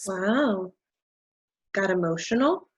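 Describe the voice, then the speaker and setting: A sneering, sarcastic woman, played by an actress in a stage play reading. She drawls slowly in a husky voice. Every phrase is laced with malicious contempt for the group. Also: medium pitch, expressive. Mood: contemptuous.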